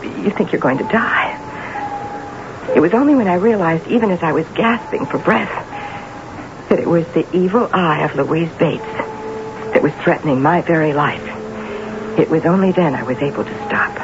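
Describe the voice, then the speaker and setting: A woman's voice: lowish voice, dull audio, noisy background. An actress playing a sardonic, scheming woman talent manager in a radio drama, with a pointed, biting and theatrical delivery. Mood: dramatic narration. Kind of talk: radio drama acting and first-person narration. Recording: band-limited vintage radio broadcast with music.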